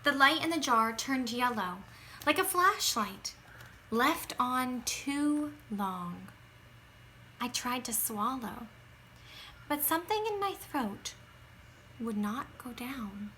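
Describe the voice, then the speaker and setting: Bright, high-voiced woman reading a children's story. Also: animated, a little hiss or hum. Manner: dramatic, drawn-out emphasis with hushed drops and bursts. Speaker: teacher reading a picture book aloud. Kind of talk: expressive children's read-aloud narration.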